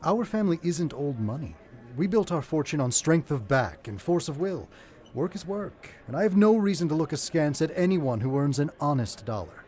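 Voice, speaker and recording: A man's voice: on the high side, big pitch swings, normal speed, darkish tone. An actor playing a proud, severe self-made rich father in a radio drama, speaking formally and bluntly. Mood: formal, gracious.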